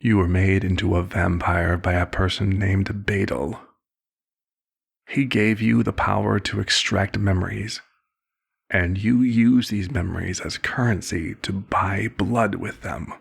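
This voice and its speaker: Male actor as fatherly vampire doctor for ASMR roleplay: low-pitched, gravelly, measured and formal, concerned therapist temperament. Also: fairly even intonation, full character voice. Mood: probing, measured.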